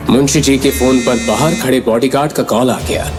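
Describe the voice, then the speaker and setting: Male, dramatic audio-series narrator, mid-pitched voice, long reverb, music clearly behind. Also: fairly even intonation, moderate pace. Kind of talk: dramatic scripted story narration. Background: clear background music.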